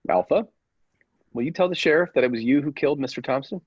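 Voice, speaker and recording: A man's voice: mid-range voice, fast, breathy edge, no echo, dull audio, close to an everyday voice. A man playing a persuasive, controlling scientist in an old-style radio play, with a commanding, insistent manner. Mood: placating. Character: persuasive, controlling male laboratory scientist with dangerous ambitions.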